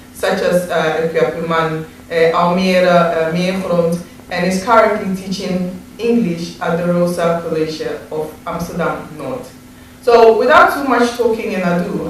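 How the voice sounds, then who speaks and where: A woman's voice: fairly low pitch, recorded from a distance. A woman hosting a TV talk show. Her speech is chatty and full of small laughs and fillers. She sits back from the mic in a very echoey room with a light hiss. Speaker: host. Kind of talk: TV interview.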